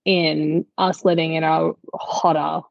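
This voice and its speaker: A woman's voice: sits low, level tone, slow, creaky, no room sound, muffled recording. A woman co-hosting a podcast, discussing the news in a reflective, conversational way. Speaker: podcast co-host.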